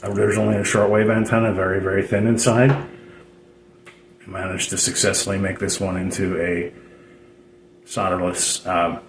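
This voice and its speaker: Man, low-pitched, casual hobbyist tutorial, hesitant and rambling, repeats himself, echoey room, faint noise. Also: little pitch movement, moderate pace, pauses often. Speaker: DIY radio hobbyist presenting a tutorial. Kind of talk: unscripted casual how-to explanation. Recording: roomy echo with background noise.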